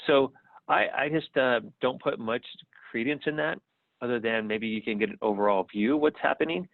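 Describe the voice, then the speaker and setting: A middle-aged American man, a guest on a podcast interview, talking in a relaxed way and often repeating words while he thinks. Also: mid-range voice, normal speed, vocal fry, dry recording. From United States. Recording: tinny phone-like line.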